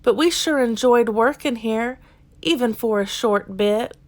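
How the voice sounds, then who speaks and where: A kind young cowboy from a children's storybook, played by a woman. The voice is fairly high, folksy and full of earnest hope. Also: a little slow, a little mellow, a little hiss or hum, close to an everyday voice. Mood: gentle, resolute. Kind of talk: children's storybook character reading.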